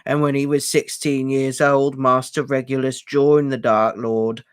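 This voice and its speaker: A man voicing a wretched old male house-elf, servile and bitter, who croaks out his words slowly and haltingly. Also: mid-range voice, little pitch movement, dry recording, studio quality, close to an everyday voice. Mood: rocking, bitter. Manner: slow, halting, gulping for air. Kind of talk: audiobook character voice.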